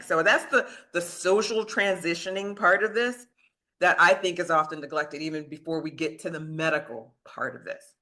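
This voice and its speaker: A man's voice: a little high, sharp tone. A man speaking as a physician guest in a podcast discussion, emphatic and pressing rhetorical questions, with laughs between points.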